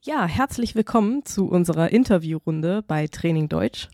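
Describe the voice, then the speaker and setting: A woman co-hosting a library podcast, asking interview questions in a friendly, measured way. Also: fairly low pitch, expressive, warm tone, no room sound, studio quality.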